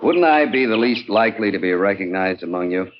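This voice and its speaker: Man playing a low-voiced, persuasive outlaw spy. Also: animated, a little fast, close to an everyday voice. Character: soft-spoken, scheming male spy posing as loyal outlaw. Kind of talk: old-time radio drama acting. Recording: dull, faintly noisy old radio recording.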